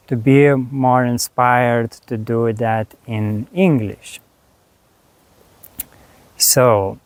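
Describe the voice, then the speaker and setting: Man, Lithuanian accent, unhurried halting delivery, dry close sound. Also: mid-range voice, a little mellow, no echo. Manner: unhurried, halting, frequent fillers and restarts. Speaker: spiritual course teacher. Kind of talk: reflective monologue.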